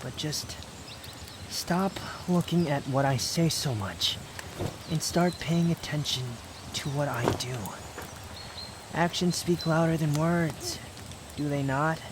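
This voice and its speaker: A proud young man, played by a male actor, speaks in a fairly high voice, bitter and guarded with tenderness underneath. The delivery is an intimate, brooding ASMR roleplay, curt at the edges and confessional. Clear outdoor nature ambience sits behind the voice. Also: slow pace, long pauses, noisy background.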